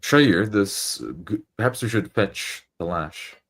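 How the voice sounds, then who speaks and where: A deep-voiced man takes part in a tabletop roleplaying game. He improvises his lines in character, often with deliberate, formal wording.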